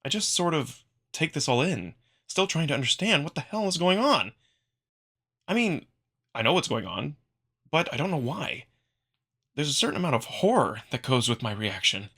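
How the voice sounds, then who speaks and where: Male narrator, sharp bright tone, mid pitch, expressive first-person storytelling for a visual novel. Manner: expressive, acting out the narrator's feelings. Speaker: narrator, amateur voice performer.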